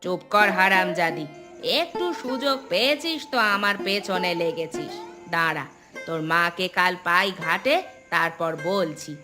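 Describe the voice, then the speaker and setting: A woman playing a grumpy, spiteful old village grandmother who scolds and complains in an animated story. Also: on the low side, a little slow, music in the background. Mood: angry. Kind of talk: Bengali animated story dialogue.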